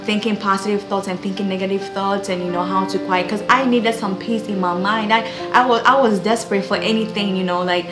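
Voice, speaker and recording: A woman's voice: medium pitch, fairly even intonation, brisk, hardly any pauses. A young woman with a Ghanaian accent giving an earnest, encouraging personal faith testimony. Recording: echoey room with background music.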